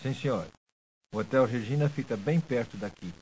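A man reading scripted language-lesson sentences in a deliberate, clearly enunciated way. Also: medium pitch, darkish tone. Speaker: language drill reader and dialogue speaker. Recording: muffled lo-fi language tape.

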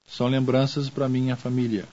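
A man reads brief cues for a language drill in a flat, unvarying tone. His voice sits in a middle register. The sound is dull and lo-fi, like an old course tape. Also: medium pitch, brisk. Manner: short cues read flatly. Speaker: drill cue speaker.

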